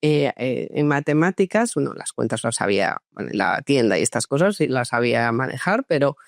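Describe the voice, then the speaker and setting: A woman psychologist born in France, telling her story in a relaxed interview with many hesitations and restarts. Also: extremely low pitch, a little fast, vocal fry, dry recording, studio quality. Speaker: guest psychologist. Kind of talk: conversational interview.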